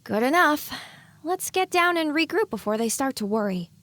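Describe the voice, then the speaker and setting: A woman plays an anxious young filly pony in an audio drama, thinking aloud in a high, swooping voice. She questions herself constantly, yet clings to hope. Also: animated, moderate pace, fairly bright tone, no room sound. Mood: perky, muttering.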